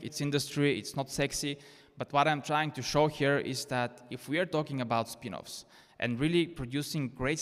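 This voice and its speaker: A man's voice: mid-range voice, a bit bright. Man keynoting in an echoey hall. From Bulgaria. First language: Bulgarian. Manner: earnest, persuasive, with repeated restarts. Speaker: keynote speaker. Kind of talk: conference presentation. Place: conference hall. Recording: reverberant conference hall.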